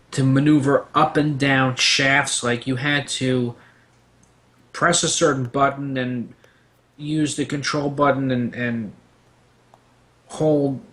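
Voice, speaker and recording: A man with a medium-pitched voice speaks casually as a panelist. He rambles through anecdotes at length and lands his opinions with emphasis. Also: a bit bright.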